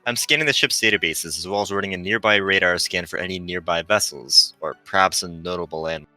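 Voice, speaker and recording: A man voicing a gentle, earnest female android ship pilot in formal, precise speech for a visual novel read-aloud. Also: sits low, fast talker, no echo, faint music behind. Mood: businesslike.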